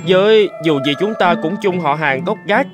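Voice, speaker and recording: A man's voice: sits high, normal speed, music in the background, lightly acted. A man voicing a scruffy stray tomcat in a cartoon, pleading and hesitant, with some stammering. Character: scruffy stray male cat on the run.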